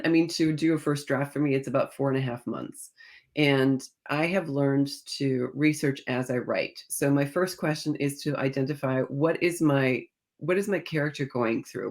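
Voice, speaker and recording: A woman speaking as a guest author in a conversational interview, thinking aloud as she tells stories in an animated manner. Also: very deep voice, moderate pace. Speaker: guest author discussing own novels.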